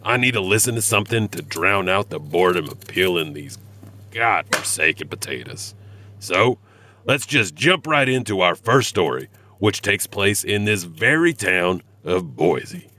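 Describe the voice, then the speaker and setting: A man's voice: low-pitched, very animated, a little slow, bright, forceful tone, full character voice. A man playing a folksy, grumbling, joking janitor who hosts a horror anthology in a theatrical monologue. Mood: eager. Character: chatty grumbling janitor peeling potatoes, spooky story host.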